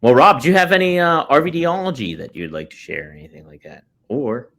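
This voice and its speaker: A man in his late thirties co-hosts a casual podcast chat. His voice moves a lot in pitch, and he sounds upbeat and encouraging. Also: sing-song, fast, a bit bright. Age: 30s. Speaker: podcast co-host.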